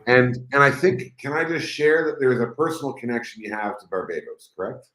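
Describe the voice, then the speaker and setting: A man's voice: medium pitch, lively intonation, fairly quick, fairly bright tone, roomy sound, low-quality recording. A man hosting a live interview, enthusiastic and rambling, often restarting his sentences. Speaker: host.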